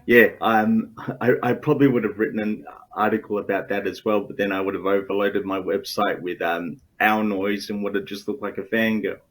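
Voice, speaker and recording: Australian male interviewer, slightly low voice, halting casual questions. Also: flat delivery, low-quality recording. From Australia, Perth. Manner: hesitant, many ums, laughs easily.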